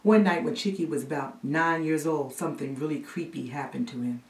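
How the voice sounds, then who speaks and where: A woman playing a storyteller who recounts a spooky childhood family tale with hushed, dramatic suspense. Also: low-pitched, brisk. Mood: suspenseful. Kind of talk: theatrical storytelling monologue. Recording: roomy echo, distant microphone.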